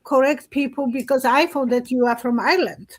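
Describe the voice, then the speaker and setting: Woman hosting a video call, high voice, eager and chatty. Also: steady tone, fairly quick. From Poland. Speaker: host, psychic. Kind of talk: casual chat.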